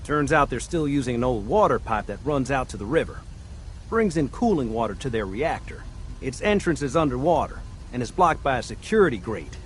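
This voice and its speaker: A man voicing a chatty lively mechanic. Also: mid-range voice, slight background noise, natural voice. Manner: chatty, eager, explaining step by step. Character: friendly male mechanic and tinkerer.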